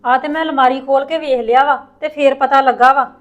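A woman's voice: high voice, fairly even intonation, a little fast, dull audio, lightly acted. A woman playing an outspoken young relative who argues her side. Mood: arguing.

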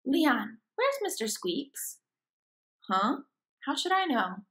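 Woman doing bratty kid in a comic, high voice, indignant and accusing. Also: very animated, slow, a bit bright, exaggerated voice.

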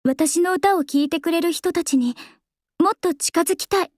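An actress voicing a young female idol singer in a game, calm and composed, soft-spoken and earnest. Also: very high voice, moderate pace, no echo, clean studio sound. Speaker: game character voice. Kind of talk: game voice lines. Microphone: close studio mic, dry.

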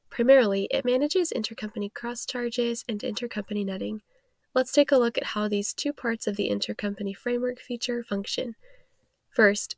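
Higher-pitched woman narrating a scripted tutorial. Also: creaky, no room sound, clean studio sound.

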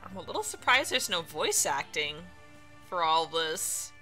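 A woman doing casual let's play commentary as a game streamer, amused and quick to laugh. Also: deep voice, very animated, bright, forceful tone, faint music behind.

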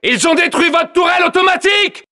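An actor playing a fierce soldier who barks urgent, commanding battlefield announcements in a military game. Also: male voice, very high voice, lively intonation, brisk, slight background noise, exaggerated voice. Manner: shouting, commanding.

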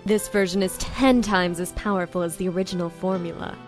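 A woman plays a calculating female scientist from an anime drama. Her voice sits fairly low, and she speaks with theatrical composure and a mocking, coaxing self-assurance. Music plays faintly underneath. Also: steady tone, brisk, close to an everyday voice. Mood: proud. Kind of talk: dramatic anime dub acting.

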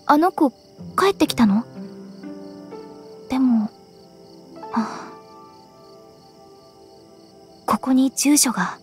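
Earnest, high-voiced young agent. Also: female voice, expressive, soft background music. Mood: startled, intent. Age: young adult. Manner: serious, measured, introspective. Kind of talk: Japanese anime game voice acting.

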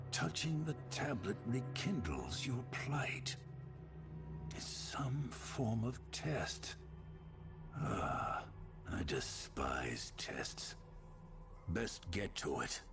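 An actor playing a stern, determined adventurer hero in a video game, speaking gravely and deliberately. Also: male voice, low voice, full character voice.

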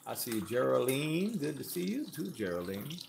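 A man's voice: mid-range voice, sing-song, moderate pace, vocal fry, darkish tone, slight background noise. Chatty man reading out live chat. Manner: chatty, reads viewer comments aloud with laughs. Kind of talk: casual livestream chat. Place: home kitchen, off camera.

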